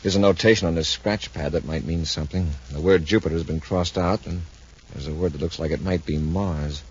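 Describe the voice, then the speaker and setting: An actor playing a steady, authoritative space patrol commander, the calm hero of a 1950s radio serial. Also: male voice, deep voice, fairly quick, breathy edge. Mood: calm, decisive. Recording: noisy, muffled 1950s radio recording.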